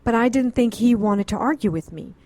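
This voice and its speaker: A woman's voice: a little high, expressive, brisk, dark tone, dry recording. A woman playing a composed, defensive witch who frankly narrates her past in an audio drama. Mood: reflective. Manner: frank, self-justifying.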